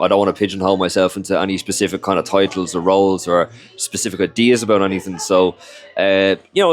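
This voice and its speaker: A young Irish man, whose first language is Irish, chatting casually in an interview and rambling with lots of fillers. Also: sits low. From Ireland. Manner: rambling, heavy on "you know" and "like" fillers.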